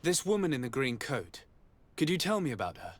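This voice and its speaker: A composed investigator in a video game. His mid-pitched voice stays polite and measured in manner while he presses for answers. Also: big pitch swings. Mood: polite, probing. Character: composed, courteous but pressing male investigator.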